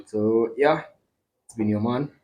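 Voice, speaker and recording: A man's voice: medium pitch, slow pace. A man with a Kenyan accent hosting a podcast, joking and boasting in casual banter. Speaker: podcast host.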